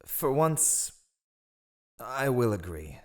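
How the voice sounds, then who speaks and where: A man's voice: medium pitch, sing-song, extremely slow. A man playing a scheming, haughty royal spy master in a fantasy audio roleplay, with sardonic, theatrical disdain. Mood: grudging disdain.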